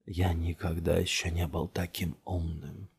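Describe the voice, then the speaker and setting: A dazed, entranced man in an audiobook thriller, voiced by a male narrator. He murmurs low and very slowly, drawing out his words in a hushed, husky tone. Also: level tone, exaggerated voice. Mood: entranced, eerie. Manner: slow, drawn-out, hushed murmur. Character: dazed, entranced man lured by a dark abyss.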